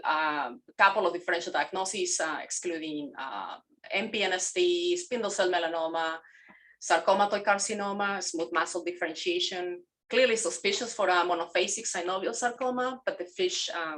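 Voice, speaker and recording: A middle-aged woman from Mexico, a native Spanish speaker, presenting a pathology case on a video call, halting with fillers and self-corrections. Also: mid-range voice, a bit bright.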